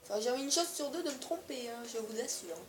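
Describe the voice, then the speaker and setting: A woman's voice: high-pitched, quick pace, echoey room. A woman with a cold, giving hesitant step-by-step building instructions over gameplay. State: stuffy with a cold. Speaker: gaming video creator.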